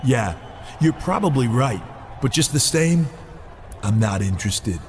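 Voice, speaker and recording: A man's voice: medium pitch, animated, unhurried, darkish tone, slight background noise, close to an everyday voice. A man playing a cocky, stubborn pro wrestler in a video game cutscene, blunt and self-assured. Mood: defiant. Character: defiant, cocky male pro wrestler hungry for titles.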